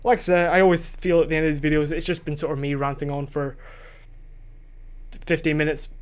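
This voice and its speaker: Rambling young man, higher voice. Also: flat delivery, fairly quick, clear, resonant tone. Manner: rambling and self-questioning, loses his train of thought; casual swearing. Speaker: solo podcaster. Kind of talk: rambling solo podcast chat. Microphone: desk microphone.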